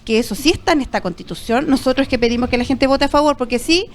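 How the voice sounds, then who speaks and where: A woman's voice: sits high, quick pace, a little hiss or hum. A woman, a party president on a political panel, making a firm, persuasive case point by point.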